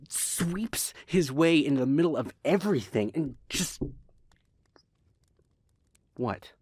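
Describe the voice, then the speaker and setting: A man voicing a bitter male vampire in an audio roleplay, talking bluntly and irritably with plenty of swearing, in a gravelly, breathy voice. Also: on the high side, no room sound, full character voice. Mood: agitated. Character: bitter young-turned male vampire, the listener's partner.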